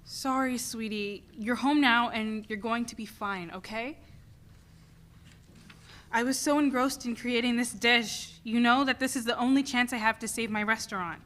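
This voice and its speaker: A woman's voice: a little high, moderate pace, a bit bright, close to an everyday voice. A woman playing a stressed, driven mother and restaurant owner in a dramatic play reading. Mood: concerned, soothing, then determined, preoccupied.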